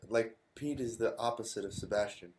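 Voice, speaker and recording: A man's voice: on the low side, fairly quick, darkish tone, a little hiss or hum. A man hosting a casual chat, asking curious follow-up questions.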